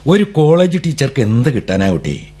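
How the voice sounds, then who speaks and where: An actor playing a proud, domineering middle-aged father in a radio drama, husky and insistent in manner. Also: medium pitch, big pitch swings, a little fast, mellow. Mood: stern, insistent. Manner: insistent, commanding, paternal. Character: domineering, proud father pushing his daughter into law.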